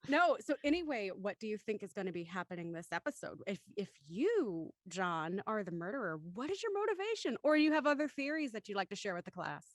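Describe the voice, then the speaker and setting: A woman's voice: mid-range voice, big pitch swings, fairly quick, hardly any pauses, dry recording, studio quality. A woman hosting a live show with casual banter, playful and self-deprecating as she jokes around. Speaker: host.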